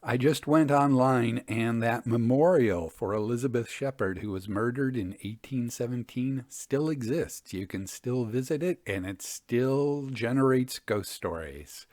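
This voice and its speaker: A man narrating scripted stories as a storyteller and host, with a measured, formal delivery. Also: medium pitch, darkish tone, no room sound, studio quality. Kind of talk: scripted narration of old ghost stories with commentary.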